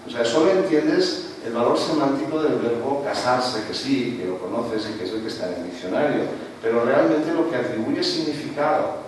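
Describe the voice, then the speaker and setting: A man's voice: mid-range voice, moderate pace, recorded from a distance, a little hiss or hum. A man who is not a native Spanish speaker giving an academic talk to an audience, lecturing with frequent rhetorical tag questions. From Spain. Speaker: panelist. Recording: roomy hall, speaker away from mic, faint ambience.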